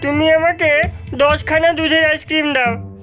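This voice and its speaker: A high-pitched, brash young village boy from a cartoon , voiced by a woman. He is cheeky and bold in an exaggerated way, with music clearly playing behind. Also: fairly even intonation, unhurried, a bit bright. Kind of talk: cartoon voice acting.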